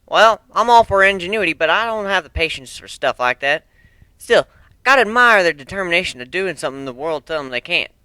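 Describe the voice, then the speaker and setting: A man's voice: high-pitched, lively intonation, a little fast, pushed and bright, clean tone, no room sound, voice pushed up. A man voicing a practical, plain-spoken country mare with a folksy, blunt manner, in a cartoon fan story reading. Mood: skeptical, admiring.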